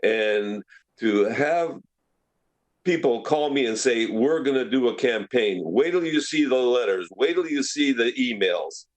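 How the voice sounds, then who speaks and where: A man speaking as a city councillor in a meeting, deliberate and halting, often repeating words. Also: mid-range voice, animated. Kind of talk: council meeting debate remarks.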